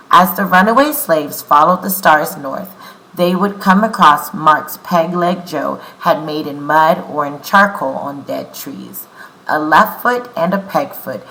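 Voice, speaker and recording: Bright-voiced woman teaching children. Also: sits low, low-quality recording. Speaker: teacher and read-aloud host. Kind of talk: children's read-aloud lesson, addressing pupils.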